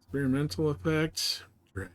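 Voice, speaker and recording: A man's voice: a little high, expressive, normal speed. A man chatting casually and thinking aloud while playing on a gaming livestream, in a rambling, colloquial way. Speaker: live streamer playing a space game.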